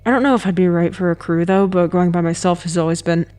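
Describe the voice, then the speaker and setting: A woman playing a reserved, awkward enthusiast in an audio drama, deadpan and earnest in her delivery. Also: on the low side, fast, clear, resonant tone, lightly acted. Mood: quietly earnest.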